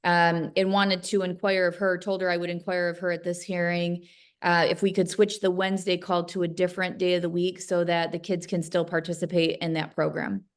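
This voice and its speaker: A woman's voice: lowish voice, level tone, brisk. A middle-aged woman speaking as a lawyer, polite and formal, on a video call. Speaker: lawyer in a family court hearing. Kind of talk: polite formal courtroom talk. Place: bright indoor room with windows, on a video call.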